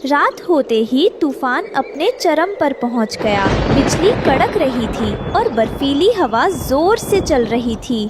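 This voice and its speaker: A woman's voice: high-pitched, very animated, takes it slowly, few pauses. A woman narrating a scripted story as the storyteller. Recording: clear background noise.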